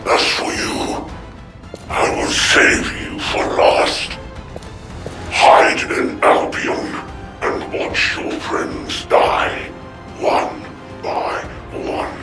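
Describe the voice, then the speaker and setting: A man playing a menacing, merciless villain commander in a video game, speaking in grandiose, deliberate threats. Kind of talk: video game cutscene acting. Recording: echoing voice under loud music.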